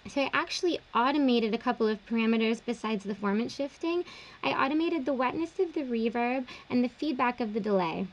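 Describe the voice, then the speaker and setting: A young woman producer casually walking through her vocal processing in a home studio, relaxed and chatty with plenty of fillers. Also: a little high, a little fast, dull audio. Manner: relaxed, explanatory, uses fillers like um and like. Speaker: music producer. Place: small home studio indoors.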